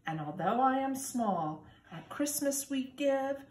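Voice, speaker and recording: Lady doing a small cheery storybook cat, high singsong, really swoopy, echoey room. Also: expressive, slow pace, darkish tone, a little hiss or hum. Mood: proud, determined. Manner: exclaiming, singsong.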